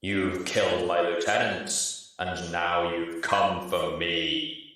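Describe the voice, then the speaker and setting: Guy doing a menacing boss monster, deep rumble, really slow, drenched in echo. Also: flat delivery, bright, forceful tone, exaggerated voice. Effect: booming reverb. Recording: very echoey, distant-sounding voice.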